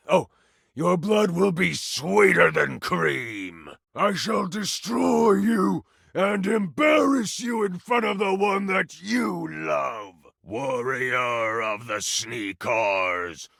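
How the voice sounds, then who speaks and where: A man voicing a vengeful, theatrical tiger-man villain in a video game, raspy and grandiose. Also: fairly high pitch, very animated, very slow, no echo, clean studio sound, heavily acted. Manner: grandiose dramatic monologue, swinging from menacing hush to outraged outbursts. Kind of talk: video game character acting.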